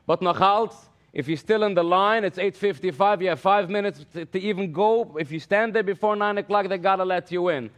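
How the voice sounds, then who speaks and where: A man's voice: high voice, fairly quick, hardly any pauses. A man with a Yiddish accent, Yiddish his first language, hosting live election coverage in casual English sprinkled with Yiddish words. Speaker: election night host.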